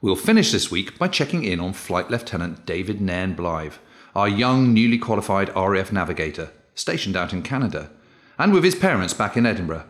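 A man's voice: low-pitched, very animated, brisk. A man presenting a podcast with scripted narration, introducing material as host.

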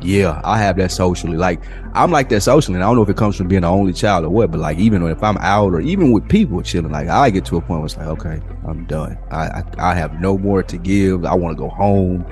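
Deep-voiced man chatting on a podcast. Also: lively intonation, fast talker, few pauses, darkish tone, soft background music. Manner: laid-back, rambling, swears freely. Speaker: podcast co-host. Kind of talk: casual podcast chat.

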